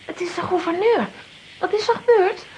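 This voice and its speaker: Very high-pitched little girl. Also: normal speed, a little mellow, a little hiss or hum, rough recording. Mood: worried, urgent. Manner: exclaiming, urgent. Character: excitable, brave young girl adventurer. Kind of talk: children's radio play acting.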